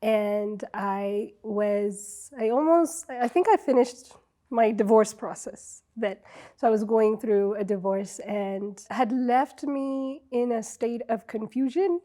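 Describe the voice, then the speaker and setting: A middle-aged woman from Ethiopia speaks slowly in a warm, mellow voice that sits a little high. She is an interview guest in a television studio, telling her story reflectively with many ums and pauses. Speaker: guest, wellness coach.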